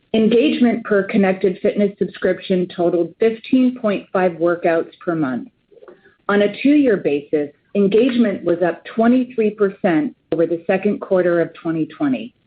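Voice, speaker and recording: A woman in a finance executive role reading scripted financial results on a conference call, formal, businesslike and precise with figures. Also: lowish voice. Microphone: phone conference call. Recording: tinny narrowband phone line.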